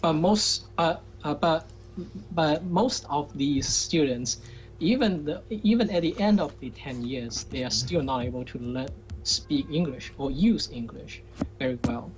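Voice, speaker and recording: A man's voice: a little high, animated, a little slow, a little hiss or hum, low-quality recording. A man from China, a Chinese native speaker, talking hesitantly in a casual call with many fillers and restarts. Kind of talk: casual chat.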